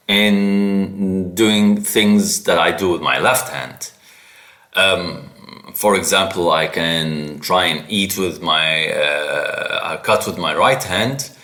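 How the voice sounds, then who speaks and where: A man whose first language is Arabic, hosting a casual solo podcast, haltingly searching for words and repeating them for emphasis. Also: low voice, a bit bright. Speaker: podcast host.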